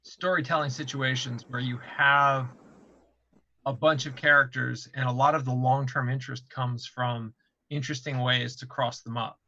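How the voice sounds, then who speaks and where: Man, bright tense voice, casual panel talk, explanatory, thinking aloud, lo-fi dull recording. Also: mid-range voice, steady tone, moderate pace, no echo. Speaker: game developer on a panel.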